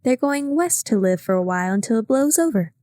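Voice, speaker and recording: A woman's voice: on the high side, lively intonation, brisk, warm tone, no echo, clean studio sound, close to an everyday voice. A woman reading aloud, voicing a chatty, gossiping young woman with a raised, breathless voice. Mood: chattering.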